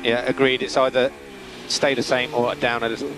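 A man working as a race engineer gives calm, methodical, terse instructions over team radio, repeating key calls. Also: medium pitch, moderate pace, loud music behind the voice, low-quality recording. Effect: team radio transmission. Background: vehicle hum and noise.

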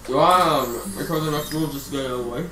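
A teenage boy hosting a let's play with his brother, chatty and quick to laugh. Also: a little high. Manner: chatty, laughs easily, lively. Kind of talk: casual let's play banter. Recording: roomy, with game music.

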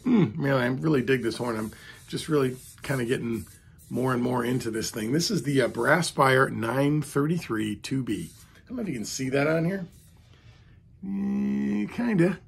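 A hoarse man talking in a rambling, chatty way to the camera from a home music room, with a raspy voice from vocal cord strain. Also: mid-range voice. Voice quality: hoarse, gravelly. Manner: rambling, chatty, self-deprecating. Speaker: trumpet hobbyist vlogger. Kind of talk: casual talk to camera. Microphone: studio mic beside camera.

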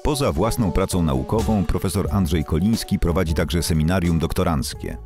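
A man reading scripted documentary narration as a voice-over, smooth and even. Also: sits low, moderate pace, music in the background. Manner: smooth, even delivery of prepared text.

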